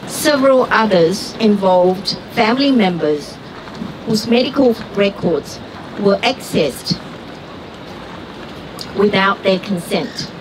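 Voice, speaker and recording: A woman from Vietnam, giving an earnest, deliberate prepared speech at an outdoor rally. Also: mid-range voice, lively intonation. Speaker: rally speaker, doctor. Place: outdoor street gathering. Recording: far-off street recording with traffic noise.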